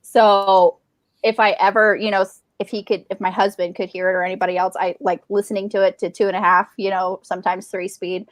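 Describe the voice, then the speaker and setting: A woman in casual book chat who talks in an animated, gushing way and repeats her phrases. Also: mid-range voice, fairly quick, dry recording. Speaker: livestream book chat panelist.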